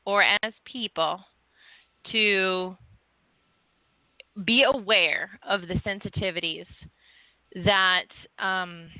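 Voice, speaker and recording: A woman's voice: mid-range voice, takes it slowly, long pauses, no room sound, narrow, tinny audio. A woman hosting an interview, a former hypnotist who rambles and searches for her words with many restarts. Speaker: podcast host and former hypnotist. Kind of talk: casual interview chat.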